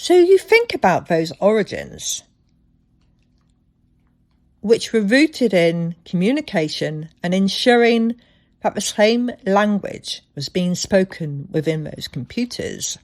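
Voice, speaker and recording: A woman hosting a solo podcast, telling things reflectively with deliberate, dramatic phrasing. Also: medium pitch, very animated, a little mellow. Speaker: podcast host.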